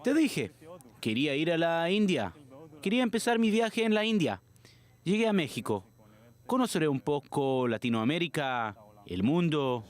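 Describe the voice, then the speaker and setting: A man's voice: on the high side, sing-song, moderate pace. A young man giving casual interview answers as a volunteer. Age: young adult.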